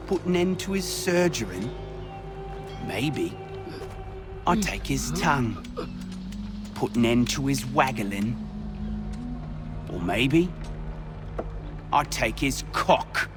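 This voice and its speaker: An actor playing a brutal, crude enforcer who threatens slowly and coarsely in a game scene. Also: male voice, mid-range voice. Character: brutal thuggish male enforcer, menacing.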